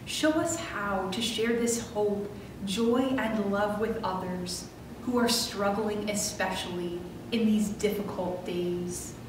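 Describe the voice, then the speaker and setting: Slow-praying woman in an echoing church. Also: mid-range voice. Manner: measured, solemn, phrase-by-phrase delivery. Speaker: minister leading prayer. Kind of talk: scripted church prayer. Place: church sanctuary, at the pulpit. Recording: roomy distant church recording with clear noise.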